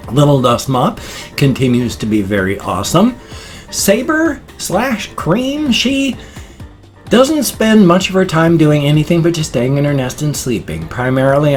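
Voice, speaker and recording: A middle-aged American man chatting casually and off the cuff in a rambling, dorky vlog manner. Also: medium pitch. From United States. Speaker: vlogger. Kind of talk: casual unscripted vlog chat.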